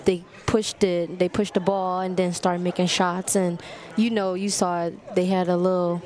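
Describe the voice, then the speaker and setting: A young woman, a college player, giving relaxed and giggly guest commentary on a basketball game, with a lot of "like". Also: lowish voice, normal speed. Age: young adult. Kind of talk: casual commentary. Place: college gymnasium at a live game. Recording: crowd noise in a gym.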